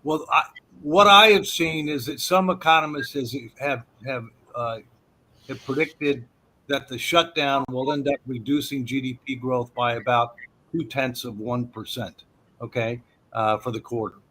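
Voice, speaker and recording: A man's voice: medium pitch, a little slow, pushed and bright, poor audio. A man hosting an economics forum, explaining policy effects with frequent hesitations and "okay" checks.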